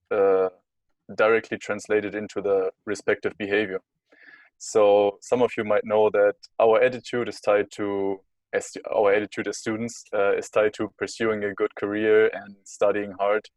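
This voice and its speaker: A man, a student giving a class presentation, speaking in a measured way with many filler pauses. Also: fairly low pitch, darkish tone, no room sound.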